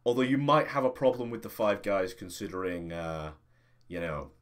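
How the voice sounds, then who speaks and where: A man's voice: fairly low pitch, fairly even intonation, moderate pace. A man speaking as game master, improvising casually in loose, informal, conversational phrasing.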